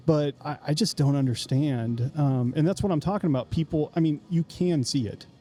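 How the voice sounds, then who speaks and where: A man's voice: a little high, moderate pace, mellow, a little hiss or hum. A man in his fifties hosting a podcast, chatting in a self-deprecating way and often restarting his sentences. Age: 50s. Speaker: podcast host. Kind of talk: casual interview chat. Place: coffee shop.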